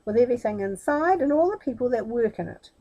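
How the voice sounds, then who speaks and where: A woman's voice: a little high, lively intonation, quick pace, dark tone, muffled recording. A woman voicing a wicked, cunning male magician in a storybook, calling out in a commanding manner.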